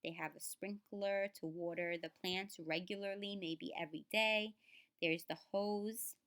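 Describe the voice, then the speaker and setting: A woman's voice: medium pitch, steady tone, a little slow, fairly bright tone. Lady teacher reading a picture book to kids, stops to explain stuff and sound out words. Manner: instructive, addresses children directly, sounds out words. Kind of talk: read-aloud to children with explanatory asides.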